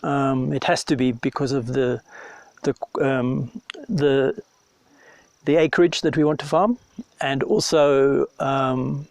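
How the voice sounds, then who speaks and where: A man from Zimbabwe, a farm technical advisor, talking in a documentary interview, relaxed and pausing often with ums. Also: mid-range voice, creaky, no room sound. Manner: relaxed, hesitant with many ums.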